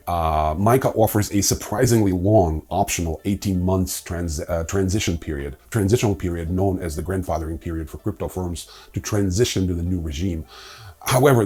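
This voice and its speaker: A man hosting a crypto news explainer, reading scripted material with informal, conversational asides in a husky voice. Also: low voice, darkish tone. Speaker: host of a crypto news video.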